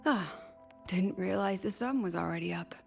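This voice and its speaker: A woman's voice: mid-range voice, moderate pace, gravelly, faint music behind, close to an everyday voice. A woman voicing a weary, polite academy president with a dryly wry, conversational manner in a video game. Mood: tired, wry. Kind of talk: video game cutscene voice acting.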